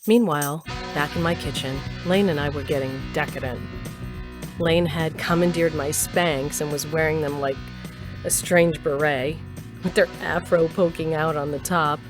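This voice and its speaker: A wry former rock musician narrating her own audio drama , played by a woman. Her voice is low, with a dry, confiding, reflective manner. Guitar music runs clearly behind her. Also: steady tone, normal speed, close to an everyday voice. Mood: wry amusement. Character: wry, wistful woman, ex-rocker recalling her youth.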